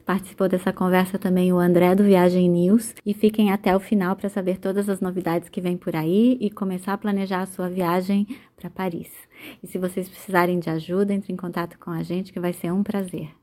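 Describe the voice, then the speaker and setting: A woman presenting a podcast segment in an even, read-out delivery. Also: on the low side, a little fast. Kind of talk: scripted podcast outro.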